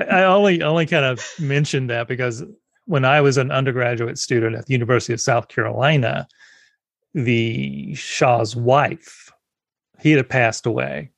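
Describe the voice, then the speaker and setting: A man's voice: medium pitch, vocal fry, dry recording. A man hosting an interview, friendly and affirming, often prompting with short murmured agreement. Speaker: interviewer, host. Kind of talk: casual conversational interview.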